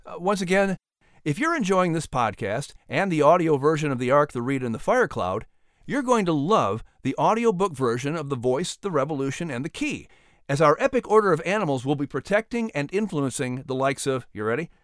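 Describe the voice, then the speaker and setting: A man hosting and narrating a story podcast in an expressive, theatrical style. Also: fairly high pitch, a little fast, no echo, clean studio sound. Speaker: podcast host and audiobook narrator. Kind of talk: dramatic narration and lighthearted scripted hosting.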